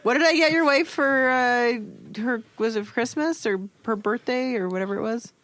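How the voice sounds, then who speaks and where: A man's voice: very high voice, normal speed, fairly bright tone, no room sound. A man co-hosting a podcast in casual chat, teasing and sardonic, posing mock-serious questions. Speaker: podcast co-host.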